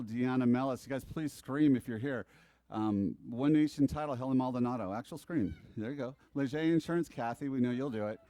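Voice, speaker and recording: A man emceeing an office team meeting in a brisk, joking way. He has a middle-pitched voice, and the recording is dry and close with little room sound. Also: mellow, no room sound. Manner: brisk, joking, reads sponsor names in a quick list. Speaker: meeting host.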